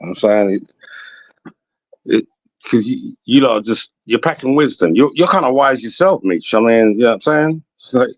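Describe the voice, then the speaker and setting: A man on an online call, his voice a bit muffled and lo-fi but close and dry, with no room around it. He wanders from point to point, often circling back with "you know what I'm saying." His pitch sits in an ordinary middle range. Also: lively intonation, moderate pace, no echo. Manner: loose, repeats "you know what I mean". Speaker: guest on a call-in talk show. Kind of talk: rambling casual conversation.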